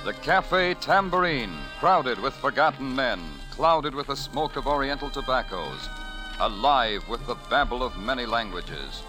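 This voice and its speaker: A man's voice: mid-range voice, lively intonation, measured pace, over music, rough recording. A man announcing in formal, declamatory 1940s radio style, reading scripted dramatic narration.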